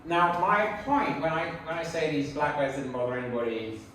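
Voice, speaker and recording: A man's voice: on the high side, fairly even intonation, moderate pace, a bit bright, distant mic. A middle-aged man from England with an English accent gives a conversational slide lecture in an informal, reflective manner. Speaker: speaker giving a public talk. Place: indoor talk venue before an audience. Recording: echoey room from afar, faint noise.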